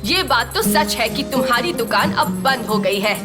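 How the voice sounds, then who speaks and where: A woman playing a middle-aged, protective mother in a dubbed TV drama, scolding and challenging with a sharp tongue. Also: on the high side, animated, moderate pace, loud music behind the voice, close to an everyday voice. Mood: indignant.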